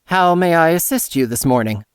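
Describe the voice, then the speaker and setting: A man's voice: a little high, animated, normal speed, clean tone, dry recording, studio quality, lightly acted. An actor playing a pompous, short-tempered male steward, speaking in a formal, haughty manner for a dramatized audiobook. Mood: anxious, deferential. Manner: haughty, indignant, formal servant speech. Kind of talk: dramatized audiobook character acting.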